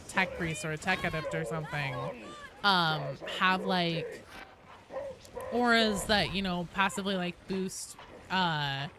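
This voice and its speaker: Female streamer, lower register, casual and halting delivery replying to chat, clear noise behind the voice. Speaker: game streamer.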